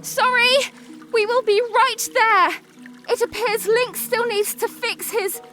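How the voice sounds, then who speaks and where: A sweet, teasing young princess played by a woman, pitched high and speaking with chirpy, courtly politeness. She is a fantasy audio drama heroine, gracious and playful, set over prominent music. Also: fairly even intonation, slow, pushed and bright, full character voice. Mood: calling out. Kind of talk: audio drama character acting.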